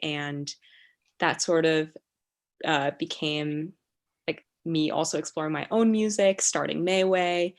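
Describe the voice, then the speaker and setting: Low, gravelly young woman on a call. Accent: American. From China. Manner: hesitant, many ums, laughs easily. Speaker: musician guest. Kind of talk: casual video call interview. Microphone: video call.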